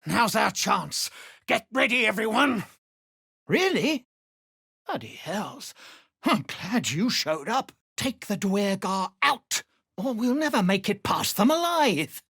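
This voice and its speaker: A man playing a desperate, hot-tempered gnome captive and explosives expert in a video game, urgent and rough-spoken. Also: high-pitched, very animated, slow, bright, forceful tone, no room sound, studio quality, full character voice. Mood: pleading. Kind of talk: video game character voice lines.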